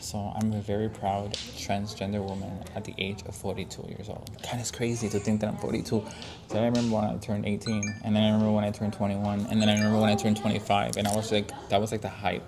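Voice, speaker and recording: A woman's voice: a bass voice, flat delivery, fast, a crowd in the background. A woman in her forties vlogging, talking in a reflective, earnest and chatty way. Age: 40s. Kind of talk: casual vlog talk.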